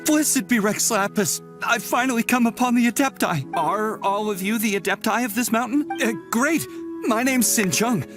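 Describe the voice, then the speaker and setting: A man's voice: sits high, moderate pace, a bit bright. A man playing a young, entitled pilgrim in an anime game, with a theatrical, impatient and exclamatory delivery. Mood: awed, pleading. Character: excitable, entitled young male pilgrim, pompous and whiny. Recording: faint music behind the voice.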